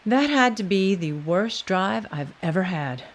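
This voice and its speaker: A woman's voice: mid-range voice, animated, unhurried, a little hiss or hum, close to an everyday voice. A woman playing a weary, skeptical doctor in an audio drama, with a dry, sardonic manner. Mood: wry, uneasy. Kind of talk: scripted audio drama acting.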